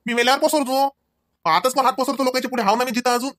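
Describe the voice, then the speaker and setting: A man's voice: extremely high pitch, fairly even intonation, rapid-fire, fairly bright tone, no room sound, studio quality. A man playing a proud, angry village husband in a comedy cartoon, scolding in a Varhadi Marathi accent. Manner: scolding, firing off rhetorical questions. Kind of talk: amateur comedy cartoon dialogue.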